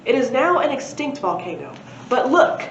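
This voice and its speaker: Storybook dinosaur professor played by a female reader: male, brisk, pompous, raised pitch, roomy recording. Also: very animated. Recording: roomy, dull lo-fi, faint noise.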